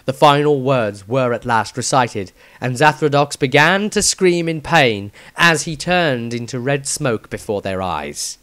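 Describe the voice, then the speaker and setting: A man's voice: mid-range voice, lively intonation, a little slow, hardly any pauses, fairly bright tone, dry recording. A man reading a fantasy story as a scripted narration, with a theatrical, emphatic delivery. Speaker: author reading his own story.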